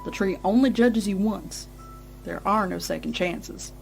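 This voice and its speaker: Woman acting as stern cartoon pony mare, solemn big sister, weighty deliberate delivery, music behind. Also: mid-range voice, vocal fry, a little mellow. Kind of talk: dramatic fan fiction audio reading.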